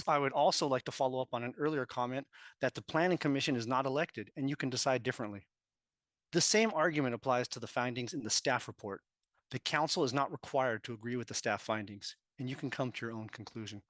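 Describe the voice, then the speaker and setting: A man reading out a prepared public comment at a council meeting, measured and persuasive. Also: medium pitch, a bit bright, no echo.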